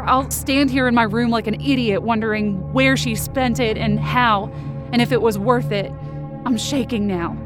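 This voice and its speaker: Woman acting wounded, defiant young woman, high-pitched. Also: natural voice. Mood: tense, hurt. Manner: intense, emotive. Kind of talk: first-person present-tense dramatic narration.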